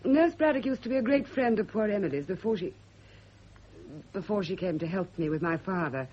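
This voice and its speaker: A woman's voice: mid-range voice, animated, fairly quick, slight background noise, lightly acted. An actress playing a genteel, poised single woman with a polite, probing manner in a radio drama. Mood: gushing politeness. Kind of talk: 1970s radio drama acting. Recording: dull lo-fi old radio recording.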